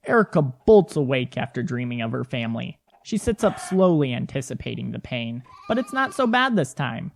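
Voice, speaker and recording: A man's voice: mid-range voice, sing-song, normal speed, vocal fry, few pauses, a little hiss or hum. A man reading scripted narration as the announcer and storyteller of an audio production.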